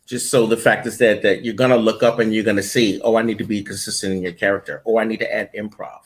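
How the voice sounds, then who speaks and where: Low-voiced man, emphatic interview guest. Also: fairly even intonation, fast. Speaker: interview guest, voice-over audition coach. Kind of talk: conversational interview answers.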